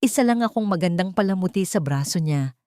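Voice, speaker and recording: Vengeful scheming fiancée, low female voice, menacing and taunting, audio drama villainess read by a woman narrator. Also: lively intonation, fairly quick, warm tone, no room sound, studio quality, natural voice. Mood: bitter, menacing. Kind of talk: dramatic audio story acting.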